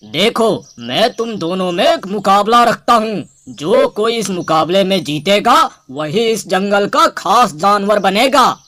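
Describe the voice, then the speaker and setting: A woman's voice: mid-range voice, expressive, a little slow, few pauses, fairly bright tone, no echo. A woman voicing an authoritative male lion king in a cartoon moral story, commanding and admonishing. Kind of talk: animated animal moral story.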